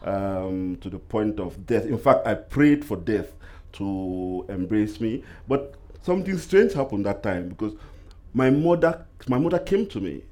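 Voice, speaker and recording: A man's voice: fairly low pitch, big pitch swings, darkish tone. Cameroonian man telling a dramatic story. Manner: dramatic, vivid storyteller who slows down for weight. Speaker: panel guest. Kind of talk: personal storytelling at a live talk.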